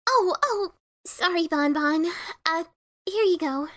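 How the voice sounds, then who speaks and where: Woman playing a high-voiced, eager pony mail carrier. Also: slow. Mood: flustered. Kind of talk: fan-made dramatic reading.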